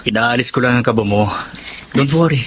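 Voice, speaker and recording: Young male love interest for an actor: kindly, earnest rich son in radio melodrama, medium pitch. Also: a little fast, a little hiss or hum, close to an everyday voice. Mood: reassuring. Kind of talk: Tagalog radio-style melodrama acting.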